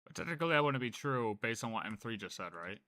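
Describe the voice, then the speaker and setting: Bright-voiced man streaming a game. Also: mid-range voice, animated, fast talker, dry recording, faint music behind. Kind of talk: live playthrough commentary, casual.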